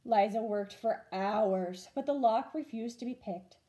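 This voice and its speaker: A woman teacher reading a children's storybook aloud in an expressive storytelling style. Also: fairly high pitch, unhurried.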